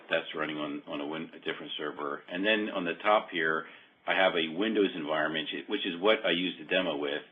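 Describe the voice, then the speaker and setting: A very low-voiced man gives an informal software demo over a thin, phone-like conference line. He explains step by step in a halting way, often correcting himself. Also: slight background noise. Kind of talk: informal technical walkthrough on a web meeting. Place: remote web conference call.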